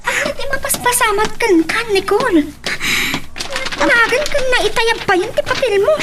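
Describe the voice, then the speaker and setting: A woman's voice: very high voice, normal speed, distant mic, noisy background. A woman playing a scolding schoolgirl in a radio drama, with an Ilocano accent. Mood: chiding.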